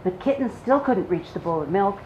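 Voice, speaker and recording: Lively woman storyteller in an echoey, noisy room. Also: medium pitch, brisk, dull audio. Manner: animated, sympathetic storytelling to young children. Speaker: children's storyteller. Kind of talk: expressive picture-book read-aloud. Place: public library story time room. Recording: echoey room with clear background noise.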